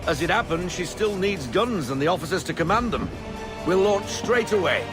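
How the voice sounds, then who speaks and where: A hearty middle-aged sea captain for a video game. His voice sits fairly high and swings widely, full of salty, jovial bluster, with music behind it. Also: expressive, normal speed. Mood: jovial boasting. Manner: salty, rollicking nautical talk. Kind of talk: video game cutscene acting.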